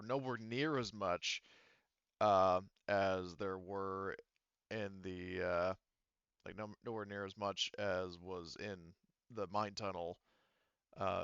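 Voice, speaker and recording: A man narrating a tabletop roleplaying game as game master in a casual, halting, explanatory way. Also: fairly low pitch, vocal fry, a bit bright, clear, resonant tone, dry recording, muffled recording.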